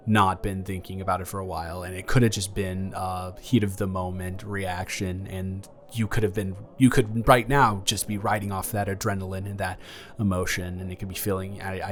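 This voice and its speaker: A young man playing a caring, awkward ex-boyfriend in an improvised romantic audio roleplay, speaking in a halting, earnest, gently reassuring way. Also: deep voice, lightly acted. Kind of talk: improvised romantic audio roleplay, intimate one-sided conversation. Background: background music.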